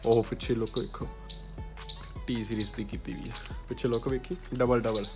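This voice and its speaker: A man's voice: medium pitch, steady tone, normal speed. Young male vlogger, Punjabi accent, mid-range voice, casual chatty delivery with some English, music behind. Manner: informal, banter-like, mixing in English words. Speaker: vlogger showing a collection. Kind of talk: casual chat while showing items to camera. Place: small indoor room.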